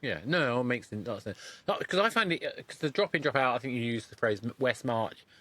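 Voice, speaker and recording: A man's voice: mid-range voice, lively intonation, fairly quick, bright, forceful tone. A man hosting a podcast, interviewing conversationally and thinking aloud in a discursive way with frequent restarts. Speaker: podcast host interviewing a guest.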